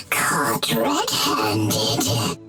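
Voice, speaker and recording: A woman playing a scheming, gloating video game villain with a theatrical, drawn-out delivery. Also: very deep voice, exaggerated voice. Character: scheming gloating villain who ambushes a weakened knight. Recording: loud game music behind.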